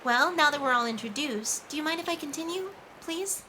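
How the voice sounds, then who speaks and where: A woman plays a sweet, playful mermaid storyteller with a high, very bright voice. She addresses children directly in an animated, coaxing manner, as if sharing a storybook with friends, over faint background ambience. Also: a little fast, a little hiss or hum. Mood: apologetic, pleading. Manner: animated, coaxing, asks listeners questions.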